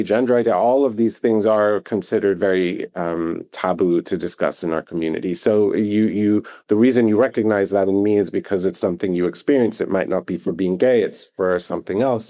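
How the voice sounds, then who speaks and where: Earnest, lively man guest, low voice. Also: no echo. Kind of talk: podcast interview.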